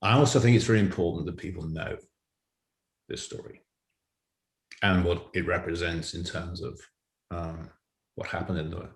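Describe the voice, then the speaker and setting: A man's voice: deep voice, fairly even intonation, normal speed, long pauses. A man talking casually as an interview guest, with an echoey, roomy sound. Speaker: writer and director, interview guest.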